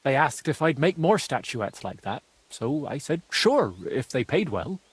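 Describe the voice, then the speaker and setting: A man's voice: mid-range voice, big pitch swings, measured pace, husky, dry recording. An actor playing a naive, simple-hearted young craftsman's apprentice in a video game, artless and hesitant when pressed. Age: young adult. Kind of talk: video game dialogue acting.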